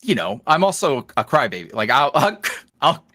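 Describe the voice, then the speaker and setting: A man talking casually as a podcast guest, in a rambling, animated, self-deprecating way. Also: mid-range voice, normal speed, dry recording, studio quality. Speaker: podcast guest, ASMR roleplay voice creator. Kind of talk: casual interview chat.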